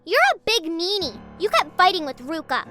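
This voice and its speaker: A woman playing a gentle, childlike girl who hates fighting, speaking in a pleading, childish way in an audio drama. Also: extremely high pitch, lively intonation, normal speed, over music. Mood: upset.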